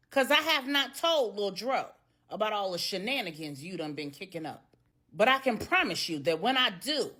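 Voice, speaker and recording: A woman's voice: mid-range voice, a bit bright. A woman voicing a fed-up, fearless older American grandmother in a storybook tale, with sassy, blunt, emphatic talk.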